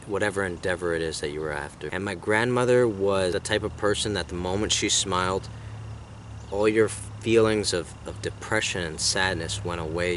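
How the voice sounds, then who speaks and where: A man in his thirties, whose first language is Greek, talking in a personal vlog in a soft, reflective way that often trails off. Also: low-pitched, a little hiss or hum. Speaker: vlogger.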